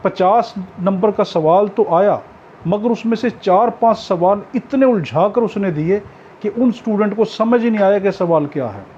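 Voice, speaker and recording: A man's voice: sits high, moderate pace, warm tone. A man with a Pakistani accent teaching as a tutor, explaining instructively to students and repeating key points for emphasis. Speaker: law exam tutor. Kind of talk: informal lecture to students. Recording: dull lo-fi audio with background noise.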